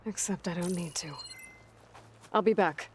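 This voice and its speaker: An actress playing a determined, self-reliant young warrior heroine in a video game, direct and questioning. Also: female voice, on the low side, measured pace, slight background noise, close to an everyday voice. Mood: resolute. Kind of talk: video game character acting.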